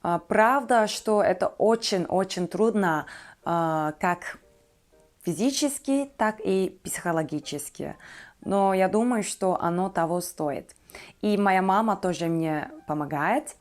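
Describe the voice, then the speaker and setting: Turkish-accented young woman, halting and careful. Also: medium pitch, faint music behind. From Turkey. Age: 20s. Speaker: vlogger. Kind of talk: personal talk to viewers about herself.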